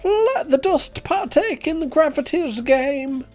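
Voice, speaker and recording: A man voicing an over-keen male eccentric in a comic story, chanting and talking with loud, insistent energy. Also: very high voice, lively intonation, slow pace, faint music behind, pitched above the performer's own voice, exaggerated voice. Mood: fervent chanting.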